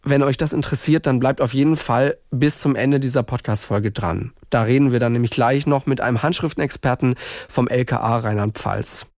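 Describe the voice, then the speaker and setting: A man hosting a true-crime podcast, narrating in a clear scripted way. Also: mid-range voice, fairly quick, darkish tone, no room sound, studio quality. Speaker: podcast host.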